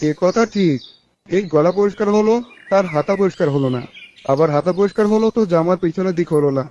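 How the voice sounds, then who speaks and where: Man as a high-pitched, chatty village washerman. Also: fast talker, slight background noise, lightly acted. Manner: chatty, frank. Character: friendly, frank village washerman. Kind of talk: cartoon character voice acting. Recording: dull audio, soft music, birdsong.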